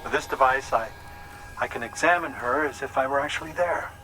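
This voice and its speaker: An actor playing a reassuring, slightly flustered doctor in a video game, explaining earnestly with some hesitant starts. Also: male voice, medium pitch, brisk, sharp tone, a little hiss or hum, close to an everyday voice, rough recording. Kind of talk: dramatic video game acting.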